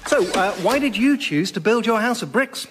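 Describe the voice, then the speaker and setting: A man's voice: high-pitched, expressive, normal speed, background noise. A man with a British accent playing a polite, hesitant character in a radio comedy sketch. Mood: polite, inquiring.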